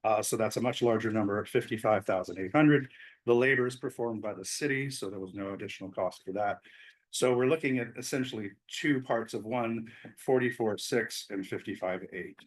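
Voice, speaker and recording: A man's voice: lowish voice, rough recording. Man explaining at a public meeting. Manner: measured, explanatory, with frequent fillers. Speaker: public commenter, community group chair. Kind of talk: public comment at a city meeting. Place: city council chamber.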